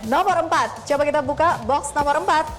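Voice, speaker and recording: A woman hosting a television talk show in a bright, high voice. She keeps things upbeat and moves the guests along with quick prompting questions. Music plays clearly underneath her.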